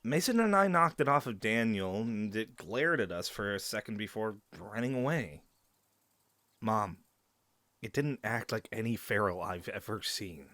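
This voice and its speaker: A man plays a young male werewolf in an audio roleplay, the leader's earnest son. His delivery is very animated and expressive, and the recording is close and dry. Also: lowish voice, measured pace, no room sound. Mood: urgent, earnest.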